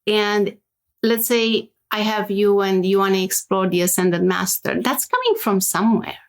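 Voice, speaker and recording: A woman's voice: medium pitch, normal speed. A woman with a Romanian accent, a hypnosis practitioner explaining her work as an interview guest and tagging her points with "right?".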